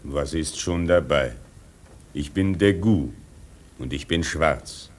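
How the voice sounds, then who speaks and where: An actor in a radio drama playing a proud, menacing sailor who speaks in a challenging, declamatory way. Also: male voice, extremely low pitch, slow, exaggerated voice. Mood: menacing pride. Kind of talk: German radio drama acting.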